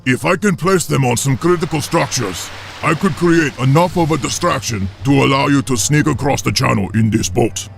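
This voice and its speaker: An actor playing a humble, formal male orc blademaster with a gravelly voice, in a game cutscene. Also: mid-range voice, normal speed, over music, lightly acted. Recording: game cutscene with faint ambience.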